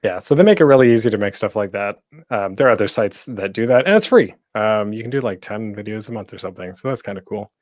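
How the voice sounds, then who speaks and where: A man's voice: on the low side, lively intonation, quick pace, darkish tone, dry recording, clean studio sound. A man giving an informal presentation as a host, conversational and thinking aloud as he goes. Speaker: panel host and podcaster giving tips. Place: at home, online panel.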